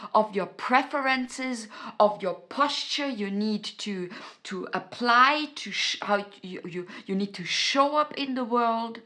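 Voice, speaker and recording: Slow, bright-voiced woman with an Austrian accent. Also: fairly high pitch. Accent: Austrian German. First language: German. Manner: earnest and searching for words, with many restarts. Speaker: YouTube host. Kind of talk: motivational talk to camera.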